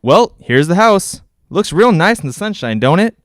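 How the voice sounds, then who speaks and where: A man's voice: high-pitched, sing-song, moderate pace, no echo, studio quality. A man playing a folksy, eager real estate agent with persuasive patter in a 1940s-style radio drama. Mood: breezy, persuasive.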